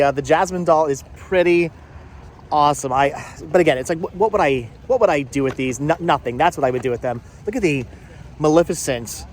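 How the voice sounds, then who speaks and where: Male vlog host, fairly high voice, upbeat and chatty, casual walk-around delivery, light outdoor noise behind. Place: outdoor flea market on a cold day. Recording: faint outdoor background noise.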